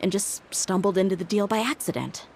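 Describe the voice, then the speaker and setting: A woman's voice: medium pitch, a little fast, darkish tone, slight background noise, close to an everyday voice. A woman playing a tough, loyal young mercenary bodyguard, frank and principled, in an anime-style video game. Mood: puzzled, candid. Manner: frank, firm. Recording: music behind the voice.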